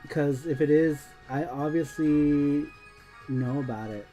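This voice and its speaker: A woman's voice: deep voice, takes it slowly, warm tone, low-quality recording. A woman hosting a casual gameplay stream, reading viewer chat aloud, laughing and swearing at the game. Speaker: streamer and show host. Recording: game music playing behind the voice. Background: music.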